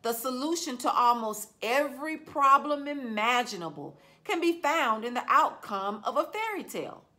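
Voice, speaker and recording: A woman's voice: high voice, big pitch swings, moderate pace, few pauses, bright, forceful tone, pitched above the performer's own voice, full character voice. A woman reading as a stern earnest schoolteacher. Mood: earnest lecturing. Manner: earnest, lecturing. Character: stern, judgmental sixth-grade woman teacher.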